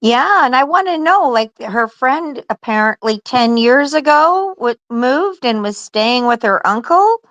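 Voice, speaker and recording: A woman co-host thinks out loud in a casual reaction chat, raising questions as she goes. Her voice sits slightly high, and she talks slowly in a dry, close sound. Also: animated, dry recording, highs cut off. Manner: questioning, musing.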